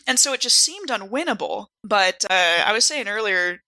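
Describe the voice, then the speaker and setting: A woman's voice: medium pitch, animated, moderate pace, sharp tone, dry recording, rough recording. A woman chatting casually and informally as a player on a podcast, sprinkling her speech with filler words. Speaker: podcast cast member and player. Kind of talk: casual chat.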